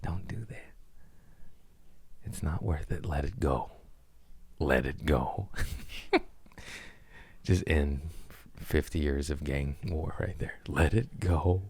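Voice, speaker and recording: A man hosting a podcast, rambling through loose, profane jokes in casual chat with a deep voice. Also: takes it slowly, pauses often, darkish tone, husky, dry recording. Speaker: podcast host, comedian.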